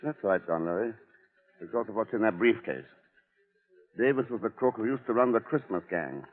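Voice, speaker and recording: An actor as a low-voiced, hard-boiled American investigator. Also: male voice, lively intonation, moderate pace, close to an everyday voice. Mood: terse, suspicious. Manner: clipped, slangy, hard-boiled. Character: wry, watchful American investigator, a tough traveling man. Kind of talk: old-time radio drama acting. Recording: narrow, tinny vintage radio recording.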